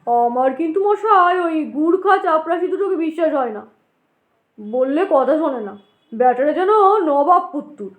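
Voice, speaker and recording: A loud, excitable station master played by a woman in an audio drama, high in pitch and ringing in a roomy echo. He is chatty and blustery, a short stout man who scoffs freely and insists on his point. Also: moderate pace. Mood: distrustful grumbling. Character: short stout talkative middle-aged male station master, excitable, sceptical. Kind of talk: dramatized audio story dialogue.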